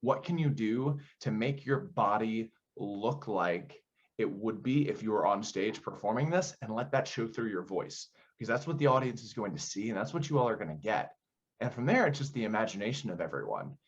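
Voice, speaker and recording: A man with a fairly low voice speaks as an interviewed director. He explains things conversationally and tosses in rhetorical questions. The recording is a little dull and lo-fi. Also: animated, normal speed, husky. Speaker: theater director being interviewed.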